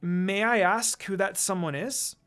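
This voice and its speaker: A reader voicing a pushy, loud teenage ninja girl in a fan story reading, speaking in a nosy, insistent way. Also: medium pitch, unhurried, clear, resonant tone, natural voice. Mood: prying.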